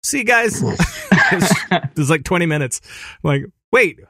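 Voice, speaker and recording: A man co-hosting a casual gaming podcast, animated and laughing easily, sometimes making silly sound effects. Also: on the high side, moderate pace, dry recording, clean studio sound. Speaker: podcast co-host. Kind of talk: casual chat.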